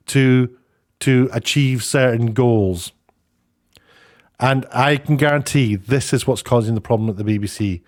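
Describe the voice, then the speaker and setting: A man's voice: mid-range voice, a little mellow. A middle-aged man giving an opinionated vlog rant, informal and emphatic, thinking aloud in stop-start phrases. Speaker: YouTube commentator and host.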